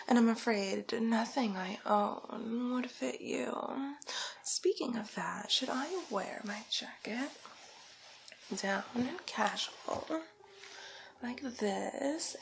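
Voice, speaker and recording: A woman playing a haughty, vain, condescending rich villainess in a whispered ASMR roleplay, with a breathy, creaky voice and drawn-out, patronizing delivery. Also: fairly high pitch, full character voice. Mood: condescending, smug. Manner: slow, drawn-out, theatrical, patronizing. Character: haughty, vain, condescending wealthy villainess, fur-loving fashion snob.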